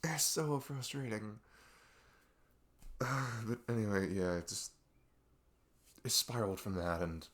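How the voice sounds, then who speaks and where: Male actor as young guy best friend, low voice, ASMR roleplay, rambling, halting, exasperated, confiding. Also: a bit bright. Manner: rambling, halting, exasperated sighs and nervous laughs. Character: young male best friend venting about arguing with parents.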